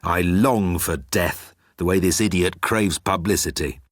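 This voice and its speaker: A man's voice: low-pitched, measured pace, no echo, studio quality. A man voicing a world-weary immortal alien who insults people with disdain. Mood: bored disdain.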